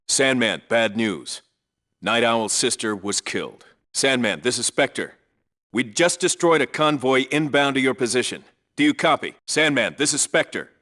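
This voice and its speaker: A no-nonsense commando leader from a military video game. His mid-pitched voice has a hard, bright edge. He gives clipped, businesslike reports and orders with firm authority. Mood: grim. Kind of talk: scripted radio call-outs, video game military acting.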